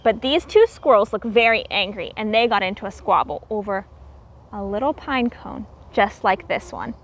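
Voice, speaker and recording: A woman reading a story aloud to young children as a teacher, expressive and explaining things simply. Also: mid-range voice, moderate pace, a little hiss or hum.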